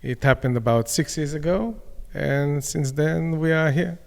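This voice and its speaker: A man's voice: a little high, measured pace, gravelly. Israeli-accented man in an echoey room. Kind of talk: casual interview answers. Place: community centre dining room.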